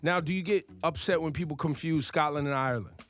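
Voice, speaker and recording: A man's voice: fairly high pitch, quick pace, a bit bright. A man hosting a morning radio interview in a studio, brash and teasing, with slangy, blunt questions. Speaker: radio host.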